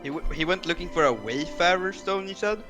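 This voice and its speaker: A man playing a tabletop game, flustered, loud and full of fillers. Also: on the high side, animated, fairly quick, music in the background. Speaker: player. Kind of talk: tabletop roleplay over voice chat.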